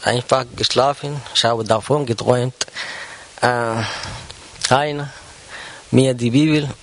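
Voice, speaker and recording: A man whose first language is Arabic, speaking to his church congregation in a halting personal testimony, with many pauses and fillers. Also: mid-range voice, fairly even intonation. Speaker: church member addressing the congregation. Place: church service.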